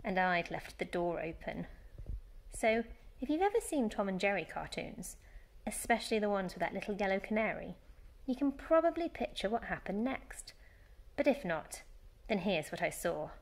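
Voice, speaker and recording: Expressive woman reading a children's story. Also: mid-range voice, normal speed. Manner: animated, dramatic emphasis for young children. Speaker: primary school teacher reading story time.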